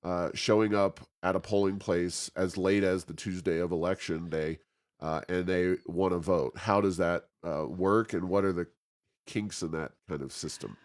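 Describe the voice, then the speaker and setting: Male interviewer, deep voice, hesitant phrasing, conversational podcast questioning. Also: steady tone, moderate pace.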